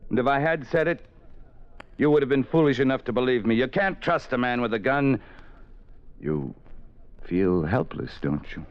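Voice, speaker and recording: A man's voice: mid-range voice, lively intonation, normal speed, long pauses, lightly acted. A man playing a menacing gun-wielding writer. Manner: sinister, toying, swings from soft to sudden shouts. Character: menacing male writer holding a woman at gunpoint. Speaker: radio drama actor. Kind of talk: 1940s radio acting. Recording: dull lo-fi old radio recording.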